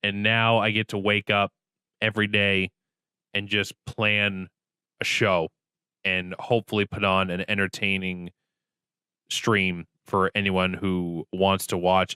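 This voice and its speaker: A man's voice: deep voice, clean tone, no echo. A young adult man, a guest on a podcast, chatting casually in an upbeat, joking way. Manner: upbeat, jokey and animated. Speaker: podcast guest, streamer and actor. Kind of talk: casual interview chat.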